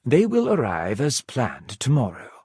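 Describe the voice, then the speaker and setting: A man playing a polite, composed servant of a grand manor in a video game, speaking with formal, measured courtesy. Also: mid-range voice, huge pitch swings, a little mellow, slightly rough, heavily acted. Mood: formal, courteous. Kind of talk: scripted video game dialogue.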